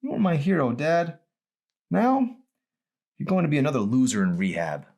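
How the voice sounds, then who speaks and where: Wounded teenage girl, played by a male reader: raised pitch, emphatic, accusing, audiobook drama. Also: wildly swooping pitch, normal speed, vocal fry, a little mellow, exaggerated voice. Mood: accusing, hurt. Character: angry, wounded teenage daughter confronting her father.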